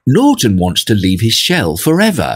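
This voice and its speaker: A man's voice: on the low side, huge pitch swings, normal speed, slight background noise. A man voicing a fussy, house-proud female spider in a storybook, chatty and cheerful.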